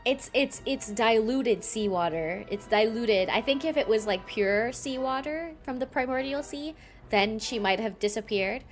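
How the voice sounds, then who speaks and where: A woman gives casual live reactions to a game. Her voice sits slightly high and she is excitable, repeating phrases, with soft game music behind her. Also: measured pace. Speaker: game streamer. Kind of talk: casual livestream reaction commentary.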